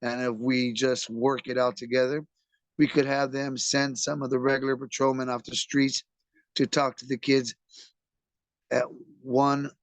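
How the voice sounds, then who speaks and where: A hoarse man with a raspy voice, reading a memoir aloud to a book club. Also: mid-range voice, no echo, rough recording.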